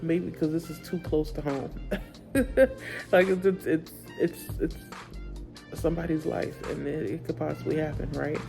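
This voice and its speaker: A woman's voice: deep voice, moderate pace, vocal fry, over music. A woman chatting casually about her reading, rambling and correcting herself as she goes, congested and tired. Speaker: book vlogger. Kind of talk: casual reading update chat.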